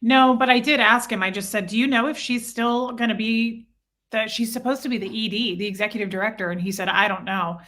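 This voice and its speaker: A woman's voice: fairly high pitch, a little fast, a bit bright. A woman speaking as an interview guest, telling personal stories casually and earnestly, often acting out both sides of conversations.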